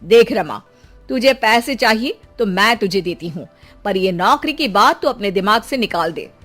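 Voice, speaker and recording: A woman's voice: medium pitch, expressive, moderate pace, a bit bright, faint music behind. A woman voicing a haughty, domineering middle-aged mother-in-law in a melodramatic moral story. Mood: scornful, commanding. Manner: domineering, sneering.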